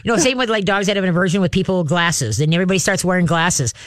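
A woman's voice: fairly low pitch, racing, no room sound, clean studio sound. A woman hosting a call-in radio show about dog training, chatty and upbeat, slipping into cheerful sing-song voices. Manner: chatty, upbeat, acts out cheerful baby-talk to dogs.

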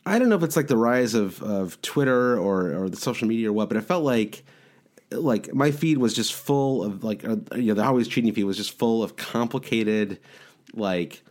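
A man's voice: fairly low pitch, lively intonation, moderate pace, darkish tone. A man from the American Midwest with a head cold and a nasal Midwestern accent, hosting a casual podcast chat and rambling with lots of fillers and restarts. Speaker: podcast host.

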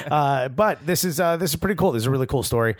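A man's voice: fairly high pitch, animated, a little fast, no echo, clean studio sound. A man co-hosting a soccer podcast, chatting casually and laughing often. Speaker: podcast co-host. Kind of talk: casual chat.

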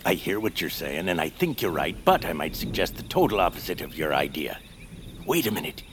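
An actor playing an eccentric, socially awkward bearded hermit creature in a family audio drama, rambling and friendly. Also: male voice, sits low, very animated, brisk, background noise. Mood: chatty, quirky. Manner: rambling, muttering, quirky. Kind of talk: family audio fiction character acting.

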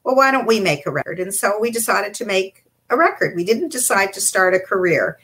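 An older Canadian woman, a children's entertainer, recounting her career in a measured, reflective way. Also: medium pitch, very animated, a bit bright. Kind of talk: interview storytelling.

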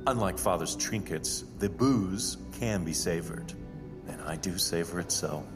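A haughty heir to a fortune in an audio drama. His voice is low and imperious, with pompous, carefully formal phrasing. It rings in a reverberant space over music. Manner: pompous, formal, deliberate diction. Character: haughty wealthy male heir, secretive and imperious.

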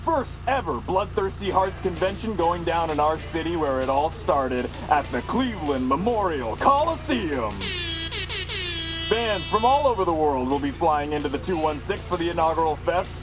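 A man plays a showy morning radio DJ, punchy and hyped. His fairly high voice swoops over a music bed in an audio drama. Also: expressive, moderate pace, lightly acted, rough recording. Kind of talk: radio DJ patter. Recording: music behind the voice.

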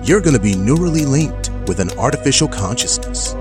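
A man playing an assured, blunt corporate representative making a pitch in a drama trailer. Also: very animated, brisk, over music, close to an everyday voice. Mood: upbeat, assured.

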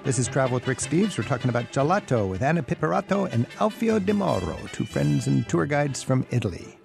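A man's voice: mid-range voice, very animated, fairly quick, creaky, a little mellow, soft background music. A man hosting a radio travel talk show, curious and conversational as he questions his guests. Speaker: radio host.

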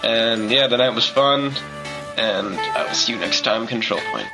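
A man hosting a casual podcast segment, reading out quick questions and shout-outs. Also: lowish voice, lively intonation, low-quality recording. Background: guitar music.

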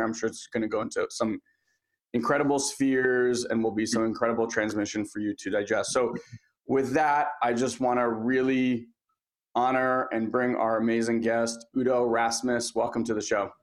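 A man's voice: medium pitch, flat delivery, moderate pace, poor audio. A man hosting a podcast who introduces guests and promotes products in an upbeat, enthusiastic manner. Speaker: podcast host. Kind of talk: enthusiastic show host.

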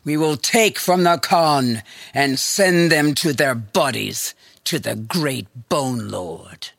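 A voice actor reading a line slowly and checking how it should go. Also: mid-range voice, lively intonation, gravelly, fairly bright tone.

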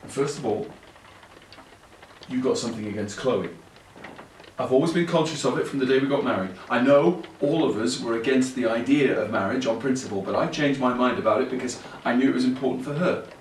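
An actor playing an earnest, halting brother who confesses and pleads with his sisters in an audio play. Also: medium pitch, far from the mic, natural voice. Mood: insistent, defensive. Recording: roomy, off-mic, faint background noise.

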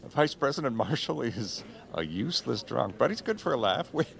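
A creaky, deferential political aide. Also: male voice, mid-range voice, very animated, moderate pace. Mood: awkwardly jovial. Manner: stammering, deferential. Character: flustered, obsequious male political aide, nervously jovial. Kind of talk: comedic audio drama acting.

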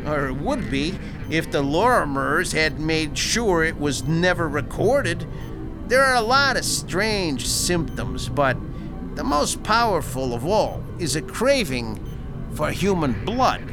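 A man's voice: fairly high pitch, very animated, exaggerated voice. An actor playing a smug, ghoulishly casual henchman in an audio thriller. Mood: explaining, intense. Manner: ghoulishly casual, taunting, drawn-out phrasing. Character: gloating, matter-of-fact male henchman, captive but smug. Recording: music behind the voice.

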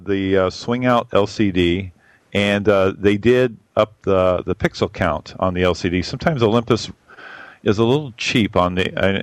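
A man with a boomy voice giving a rambling, casual camera review full of fillers and restarts. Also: no room sound. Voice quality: boomy on a mic. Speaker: photography podcast regular reviewing cameras.

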